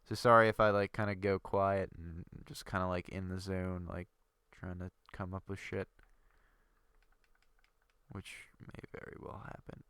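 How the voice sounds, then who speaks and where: A man's voice: low voice, flat delivery, measured pace, fairly bright tone, clear, resonant tone, dry recording. A young man with a stuffy nose from a cold, rambling and thinking aloud with lots of fillers while commenting on his game. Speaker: gaming video creator. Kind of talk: casual gameplay commentary.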